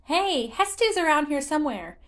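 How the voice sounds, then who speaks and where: A woman voicing a tiny, childish wooden forest sprite, cheerful, playful and excitable, in a fan fiction audiobook. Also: moderate pace. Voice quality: childish, high-pitched. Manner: excitable, enthusiastic.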